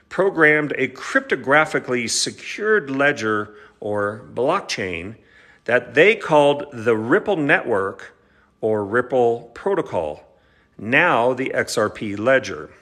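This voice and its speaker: A man's voice: mid-range voice, pushed and bright. A middle-aged man with an American accent, an attorney reading a legal document aloud with brief asides in a stop-and-start delivery. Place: large indoor living room with high ceiling.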